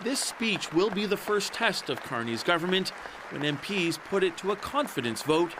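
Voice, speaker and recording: A man's voice: high-pitched, animated, normal speed. A man with a Canadian accent delivering scripted news narration as a reporter. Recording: busy crowd and noise behind.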